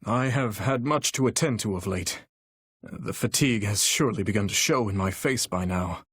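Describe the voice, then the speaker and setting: A man voicing an earnest, courteous young warrior king with a heavy burden for a video game, speaking in formal, measured, courtly diction with a husky voice. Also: fairly low pitch, fairly bright tone, no room sound, clean studio sound. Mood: weary, burdened. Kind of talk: video game character voice lines.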